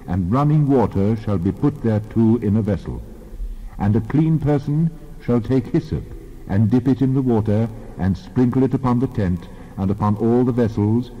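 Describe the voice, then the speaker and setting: Male scripture reader, low voice, measured and solemn, long reverb on a dull lo-fi recording. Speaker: Bible reader. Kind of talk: dramatized scripture reading.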